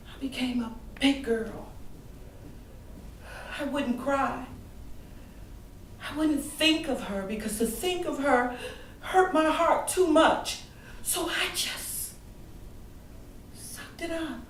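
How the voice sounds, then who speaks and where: A woman performing a theatrical monologue as a grieving grown daughter, wounded and yearning, telling her story emotionally with deliberate dramatic pauses. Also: sits high. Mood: holding back hurt. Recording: echoey room, distant mic, faint noise.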